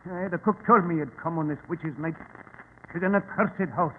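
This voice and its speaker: An actor playing a gruff, suspicious, superstitious Scottish gardener in a 1940s radio drama, speaking in foreboding rustic dialect. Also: male voice, fairly high pitch, normal speed, narrow, tinny audio, natural voice. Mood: hostile. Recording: thin, noisy 1940s radio recording.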